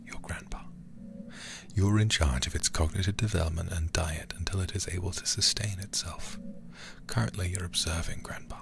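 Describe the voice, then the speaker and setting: A man with an extremely low, creaky voice reads a horror game aloud for an ASMR stream. He keeps to a hushed half-whisper, close to the microphone, with careful, deliberate phrasing.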